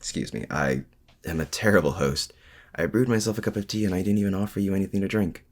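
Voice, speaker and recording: A man acting in an intimate audio roleplay as a lonely, awkward supervillain and mad scientist, speaking in a hesitant, sincere way. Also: low voice. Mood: awkwardly chatty. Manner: hesitant, halting, softly sincere.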